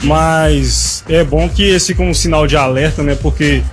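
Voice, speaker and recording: Relaxed football fan. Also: male voice, on the high side, measured pace, faint music behind. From Minas Gerais, Brazil.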